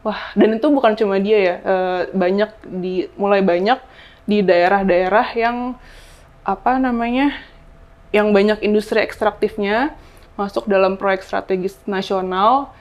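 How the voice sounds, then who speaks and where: A woman's voice: mid-range voice, steady tone, a little slow. A young woman journalist talking in a casual podcast chat, thoughtful, often stopping on fillers as she searches for words. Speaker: journalist guest. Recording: slightly dull, lo-fi sound.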